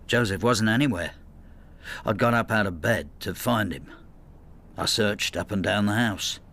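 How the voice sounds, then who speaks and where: Embittered older husband, radio drama. Lowish, bright-edged delivery, dramatic and vengeful. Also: male voice, measured pace. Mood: anxious, grieving. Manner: brooding, spiteful, dramatic. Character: bitter, vengeful ageing husband devoted to his cat. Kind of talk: first-person radio drama narration and dialogue.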